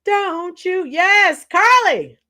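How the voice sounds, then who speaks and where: A middle-aged woman with a hoarse, tired voice chatting casually with livestream viewers, reading out comments and singing bits of songs. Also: extremely high pitch, lively intonation, very slow, pushed and bright. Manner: chatty, reads out comments and sings along to songs. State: hoarse, losing her voice. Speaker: livestream host.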